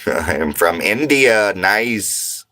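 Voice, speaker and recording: A man with a very low voice talks slowly and loosely to his livestream viewers. He is from Montana and speaks in laid-back slang, rambling from one comment to the next from inside his RV. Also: expressive, bright, forceful tone. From Montana, United States. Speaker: livestream host. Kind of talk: casual livestream chat reading comments.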